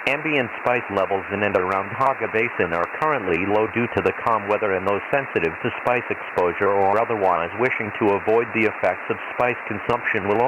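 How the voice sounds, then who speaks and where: Casting: man performing formal, impersonal male sci-fi weather-radio announcer, lowish voice, measured bulletin delivery, radio filter with clear static hiss. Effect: radio transmission filter. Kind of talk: scripted emergency weather bulletin, read like automated weather radio. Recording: hissy, noisy shortwave-style radio transmission.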